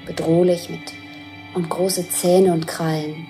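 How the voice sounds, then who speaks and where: An actress playing an observer who plainly describes a fairy-tale scene for a radio drama, feeling for words. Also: female voice, on the low side, level tone, takes it slowly, mellow, faint music behind. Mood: ominous.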